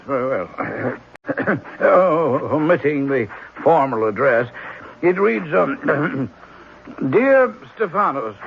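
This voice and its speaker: Fussy apologetic office guy, old radio drama, creaky highish voice, stammers, muffled sound. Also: slight background noise. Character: fussy, deferential, probing company official.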